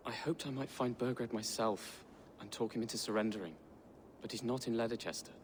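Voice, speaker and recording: A man's voice: medium pitch, steady tone, a little fast, a little hiss or hum, lightly acted. A male actor voicing an earnest young Saxon prince in a video game, hesitant and anxious in his delivery. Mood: nervous, earnest. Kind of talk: dramatic video game acting.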